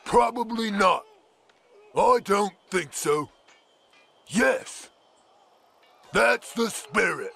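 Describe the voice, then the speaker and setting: High-pitched, chirpy British peasant. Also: male voice, lively intonation, very slow, vocal fry, long pauses, pushed and bright, breathy edge, heavily acted. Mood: chipper. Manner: chirpy, polite, theatrical. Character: cheerful, timid, overly polite young male medieval peasant. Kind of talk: video game character voice lines.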